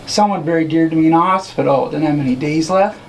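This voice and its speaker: An older man with a gravelly, mid-pitched voice chats casually on live radio. He is effusive and slangy, speaking straight to listeners over faint noise. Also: fairly quick, low-quality recording. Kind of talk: casual chat, addressing listeners. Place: local radio studio.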